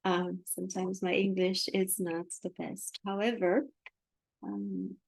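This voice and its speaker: A woman with a Romanian accent, a native Romanian speaker, talking casually to viewers as a spiritual channeler, softly searching for words and correcting herself. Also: medium pitch, little pitch movement, takes it slowly, gravelly, breathy edge, dry recording, rough recording.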